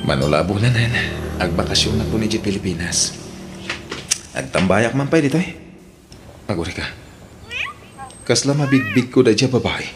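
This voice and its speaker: An earnest, forgiving suitor with an Ilocano accent played by a male actor in a radio drama. His voice sits on the lower side, with clear music behind him. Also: expressive, moderate pace, pauses often, lightly acted. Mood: casual. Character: adult man working abroad, forgiving, earnest suitor.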